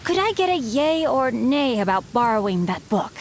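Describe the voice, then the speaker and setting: A woman's voice: a little high, very animated, moderate pace, slight background noise. A woman doing a gallant young swordsman. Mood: poised. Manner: formal, poised, courtly phrasing. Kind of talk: video game voice acting.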